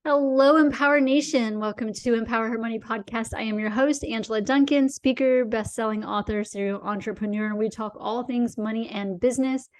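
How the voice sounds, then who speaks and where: Upbeat woman podcast host, higher voice. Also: steady tone, a little fast, hardly any pauses, faint music behind. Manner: upbeat, welcoming. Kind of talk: podcast hosting.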